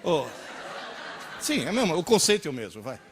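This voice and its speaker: A man in his sixties from Brazil giving a lively lecture to an audience, asking rhetorical questions and acting out little dialogues. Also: a little high, normal speed, a little hiss or hum. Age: 60s.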